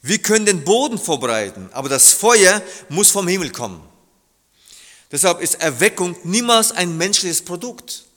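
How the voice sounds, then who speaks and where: A middle-aged man from Germany preaching with a fairly high voice. He talks earnestly, with halting restarts that build into emphatic appeals. His words ring out with a long reverb, as in a church hall. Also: big pitch swings, fairly bright tone. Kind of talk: sermon.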